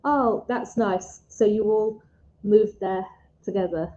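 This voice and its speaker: A woman's voice: medium pitch, steady tone, slow, dark tone. A British woman tutoring English in a casual online chat, speaking in simple, encouraging, teacherly phrases over a muffled call. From Britain. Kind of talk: casual one-to-one lesson chat. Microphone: video call.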